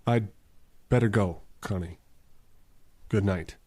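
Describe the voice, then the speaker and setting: A man acting in an old-time radio drama as a mild, courteous gentleman, polite and halting in his speech. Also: on the low side. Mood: hurt, subdued.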